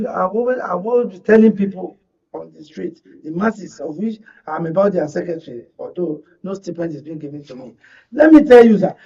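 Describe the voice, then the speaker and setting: A man's voice: sits high, a little mellow, slightly rough, recorded from a distance, lo-fi sound. A man with a Nigerian accent debating politics as a studio guest, emphatic and rhetorical, often repeating phrases to press his point.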